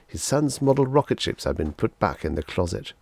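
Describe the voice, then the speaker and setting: Low, gravelly male audiobook narrator. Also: very animated, brisk, dry recording. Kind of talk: scripted story narration.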